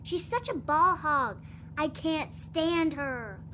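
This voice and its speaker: Casting: female actor for sulky little daughter, petulant high voice, slight background noise, audio drama. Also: animated, slow, pushed and bright, exaggerated voice, low-quality recording. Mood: indignant, sulky. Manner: whiny, petulant. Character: sulky, indignant young schoolgirl daughter. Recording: faint hum and noise.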